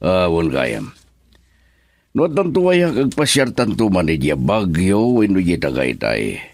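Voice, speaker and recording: A man's voice: deep voice, huge pitch swings, a little slow, no room sound, exaggerated voice. An actor playing a middle-aged philandering businessman father in a radio drama. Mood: smooth, evasive. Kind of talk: Ilocano radio drama acting.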